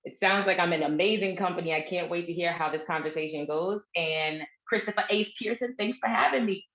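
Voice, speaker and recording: A woman introducing herself as a real estate broker on a panel, warm and grateful in tone. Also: on the low side, brisk, dull audio. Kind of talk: self-introduction on an online panel. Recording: echoey room.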